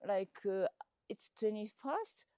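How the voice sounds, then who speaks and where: A woman answering interview questions on a trek in halting English, pausing often to search for words. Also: medium pitch, lively intonation, warm tone, no echo, clean studio sound. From Japan. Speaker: trekker and mountain climber. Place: outdoors on a mountain trek.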